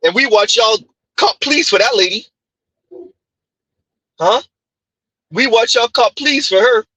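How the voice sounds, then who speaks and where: A man with a Haitian accent commenting on clips during a live stream, emphatic and rhetorical, with a husky voice. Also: very high voice, takes it slowly, pushed and bright, no echo. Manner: emphatic, rhetorical "huh?" asides.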